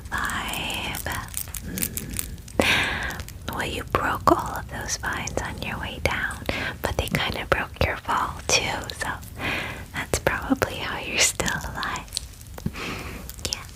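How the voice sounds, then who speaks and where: A woman voicing a friendly, eager dragon in cute human form, whispering softly and chattily in an ASMR fantasy roleplay. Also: natural voice, poor audio. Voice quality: soft, breathy whisper. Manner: chatty, gushing, hesitant, reassuring.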